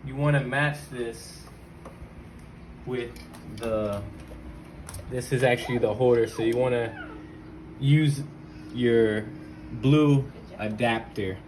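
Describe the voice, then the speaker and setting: A man with a mid-pitched voice talks like a casual YouTube host, using slang and speaking right to the viewer. He moves between hands-on explaining and personal storytelling. The homemade recording carries clear background noise. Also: darkish tone. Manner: informal, slangy, addresses viewers directly. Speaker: YouTube vlogger and channel host.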